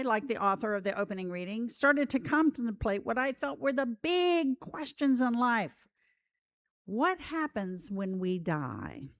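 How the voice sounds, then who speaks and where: A woman preaches a reflective sermon at an unhurried pace. Her mid-pitched voice rises and falls expressively as she puts questions to her listeners in a measured manner. The sound is dry, with little room around it. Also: no echo. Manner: measured, posing rhetorical questions.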